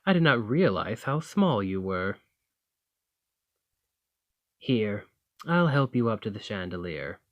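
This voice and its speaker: A man's voice: on the low side, very animated, slow pace, pauses often, dry recording, dull audio. A man playing a dominant, haughty fantasy thane in an ASMR roleplay, commanding and formal with a condescending, teasing edge. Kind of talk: ASMR roleplay acting, slow and intimate.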